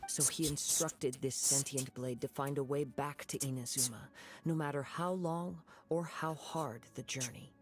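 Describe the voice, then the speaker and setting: A young man voicing a gentle wandering samurai in a video game, with a calm, composed and reflective way of talking. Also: medium pitch, unhurried, natural voice. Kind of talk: game voice acting. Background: game music.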